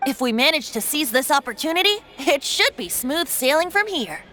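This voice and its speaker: High, lilting, playful boy bard. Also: very animated, moderate pace. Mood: persuasive, sly. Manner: breezy, teasing, theatrical. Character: playful, sly, youthful boy bard, carefree and glib. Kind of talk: anime video game voice acting. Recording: faint music behind.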